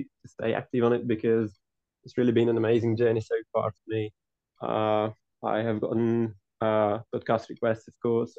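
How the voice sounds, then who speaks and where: Gravelly man, dull sound. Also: medium pitch, darkish tone, no room sound. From Hungary. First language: Hungarian. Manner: earnest, long winding answers with many restarts. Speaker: podcast guest, football writer. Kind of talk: casual interview answers.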